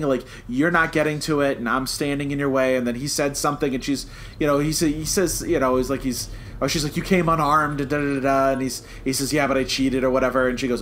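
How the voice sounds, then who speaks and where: Male podcast co-host, mid-pitched, conversational and stumbling into his points, slightly noisy room recording. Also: a bit bright. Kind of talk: casual review chat.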